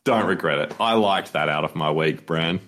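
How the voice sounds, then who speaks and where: A low-voiced man with an Australian accent, talking football on a podcast. He is enthusiastic and likes to tease his co-host in easy, casual chat. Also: normal speed, fairly bright tone. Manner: enthusiastic, teasing.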